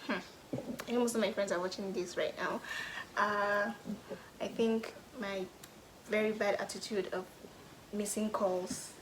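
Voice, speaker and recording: Young woman, Nigerian accent, casual vlog chat, thoughtful pauses, occasional whisper, faint background noise. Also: mid-range voice, steady tone, rough recording. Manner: thoughtful, pauses with "hmm" and "um", drops to a soft whisper at times. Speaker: vlogger. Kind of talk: casual question-and-answer vlog.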